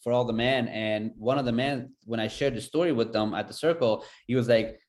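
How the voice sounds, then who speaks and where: A man's voice: medium pitch, darkish tone. Earnest man telling a personal story. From Afghanistan. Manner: earnest, halting, many fillers. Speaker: podcast host.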